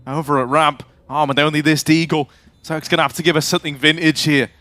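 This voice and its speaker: Very high-pitched male esports caster. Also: flat delivery, fairly quick, bright, forceful tone, dry recording. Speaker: esports commentator. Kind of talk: live esports play-by-play and analysis.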